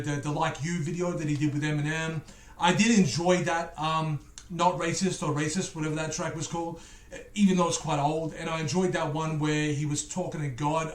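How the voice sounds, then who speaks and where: A man from England with an Australian accent, talking to his viewers in a chatty reaction video. His voice sits fairly high for a man, and he rambles and jokes as he goes. The room around him rings with a lot of echo. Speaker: music reactor. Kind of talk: casual reaction video chat.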